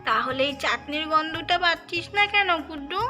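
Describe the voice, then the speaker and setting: A woman's voice: high-pitched, expressive, normal speed, music in the background. A woman voicing an eager, food-loving little child in a cartoon, chattering and wheedling with repeated calls. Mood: excited, eager. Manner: wheedling, repetitive calling.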